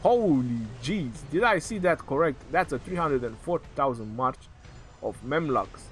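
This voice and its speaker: A man calling a strategy game match live, in excitable, joking play-by-play, his voice going hoarse. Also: a little high, moderate pace, soft background music.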